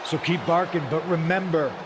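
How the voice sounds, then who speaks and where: An actor playing a smug, scornful wrestling faction leader in a video game cutscene, speaking in a taunting, condescending way. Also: male voice, fairly high pitch, moderate pace, noisy background, close to an everyday voice.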